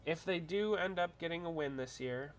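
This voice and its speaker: A man's voice: fairly high pitch, normal speed. Stuffy-nosed man chatting on a livestream. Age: adult with a wife. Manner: chatty, rambling, reads out viewer comments. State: congested from a cold. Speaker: livestream host. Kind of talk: casual livestream chat.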